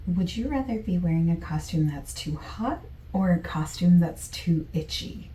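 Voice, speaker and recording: A woman playing a friendly, easygoing survey taker in a softspoken, partly whispered ASMR roleplay, with gentle, chatty asides. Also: on the low side, animated, moderate pace, reverberant, far from the mic, close to an everyday voice. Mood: gentle, casual. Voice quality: soft, breathy, partly whispered.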